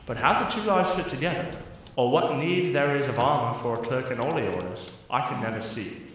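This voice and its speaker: A man's voice: mid-range voice, expressive, brisk, fairly bright tone, natural voice. Young guy playing a bookish eager prince, old-fashioned formal talk, really echoey, far from mic. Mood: earnest, puzzled. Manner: earnest, formal archaic phrasing. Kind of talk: audio drama acting. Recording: very echoey, distant mic, faint noise.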